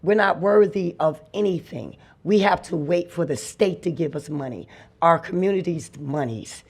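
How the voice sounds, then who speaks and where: A speaker with a raspy voice giving a heartfelt public comment at a podium microphone in a meeting hall. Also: mid-range voice. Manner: slow, heartfelt, builds to emphatic.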